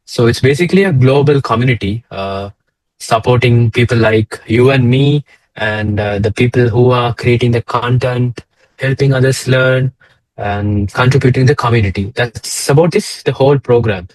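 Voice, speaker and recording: A man's voice: medium pitch, moderate pace, darkish tone, no echo, rough recording. A young man from Sri Lanka co-hosting a casual slide talk over a video call, hesitant with many fillers and restarts. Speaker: co-host, data engineer. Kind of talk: casual presentation chat.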